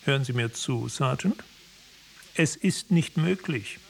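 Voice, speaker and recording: An actor playing a stern military doctor in a radio drama, cold, clipped, formal and impatient with authority. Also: male voice, mid-range voice, expressive, a little slow, gravelly, slight background noise. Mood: cold, firm.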